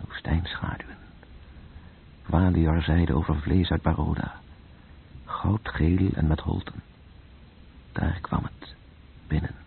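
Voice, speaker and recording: An actor playing a solemn, brooding narrator who speaks in poetic, incantatory phrases in a radio play. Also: male voice, low-pitched, creaky, exaggerated voice. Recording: noisy, dull old radio play recording.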